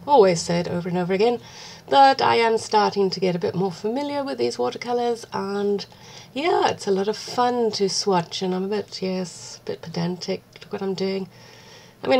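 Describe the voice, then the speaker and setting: A woman speaks in a husky voice, roughened by a cold and touched with gravelly fry. She talks at a middling pitch in a chatty, self-deprecating way, drifting into little asides as she shows her paints. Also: darkish tone. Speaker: art vlogger. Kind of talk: casual craft tutorial chat.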